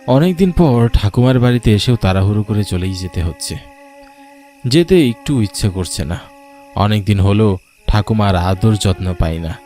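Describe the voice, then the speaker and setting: A man's voice: fairly low pitch, animated, normal speed, mellow, faint music behind, lightly acted. A man voicing a put-upon but dutiful office worker and grandson in a story cartoon. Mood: weary grumbling. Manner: grumbling in monologue, affectionate to elder. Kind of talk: Bengali cartoon voice acting.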